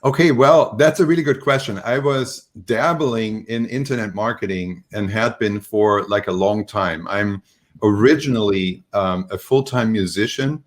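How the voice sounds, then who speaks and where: A man from Germany, a guest on a remote interview, telling his story in a relaxed, rambling way with many fillers. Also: fairly low pitch, moderate pace. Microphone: video call.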